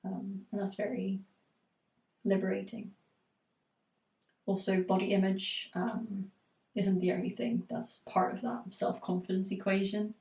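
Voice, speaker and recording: Roomy, flat-voiced woman coach with a cold. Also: medium pitch, a little slow, gravelly, pauses often, far from the mic. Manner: reflective, steady advice with frequent fillers. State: has a cold, voice going hoarse. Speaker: eating disorder recovery coach. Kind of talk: live group coaching Q&A.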